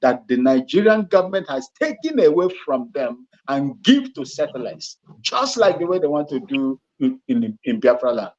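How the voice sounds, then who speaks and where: Man, halting speech, restarts, laughs between phrases, middle pitch, dull lo-fi call audio. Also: very animated, a little mellow. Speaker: guest on a live stream. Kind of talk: casual live call-in talk. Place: Ghana.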